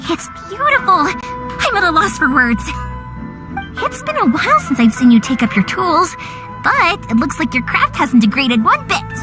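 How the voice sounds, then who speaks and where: An actress playing a cheerful, energetic young female fireworks maker who talks in a bubbly, chatty, eager way. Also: very high-pitched, moderate pace, over loud music.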